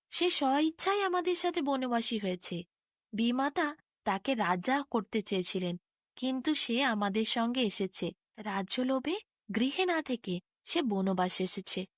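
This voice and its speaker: A woman voicing a gentle, wise young prince who speaks in an earnest, measured way, as in a storybook. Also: a little high, no room sound, studio quality. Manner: earnest, measured moral counsel.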